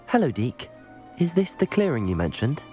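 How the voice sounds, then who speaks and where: A man voices a young student wizard in a fantasy game, courteous and keen. His intonation moves around freely, and music plays clearly behind him. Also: medium pitch, sing-song, moderate pace, dark tone, close to an everyday voice. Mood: calm, willing. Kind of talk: video game character dialogue.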